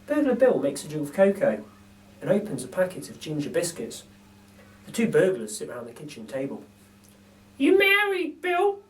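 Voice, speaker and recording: Male storyteller for children's picture book: expressive, highly animated intonation, recorded at a distance. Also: fairly quick. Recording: distant microphone.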